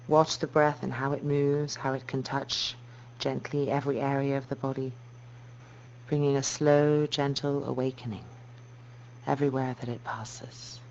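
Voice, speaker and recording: A dull, slightly noisy recording with a low hum carries a woman teaching yoga. She guides a meditation softly and calmly, in measured, unhurried phrases. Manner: slow, soft, calming delivery with measured phrases.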